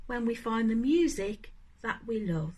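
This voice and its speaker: Storybook giraffe, played by a woman: gentle, sensitive male, high voice, wide expressive swings. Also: measured pace. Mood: proud.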